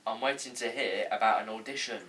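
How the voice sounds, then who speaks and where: A man's voice: lowish voice, fairly even intonation, brisk, fairly bright tone. A man reading a comedy script casually, in a blunt, defensive way. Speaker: actor at a table read. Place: indoor room, group table read. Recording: distant mic, faint room noise.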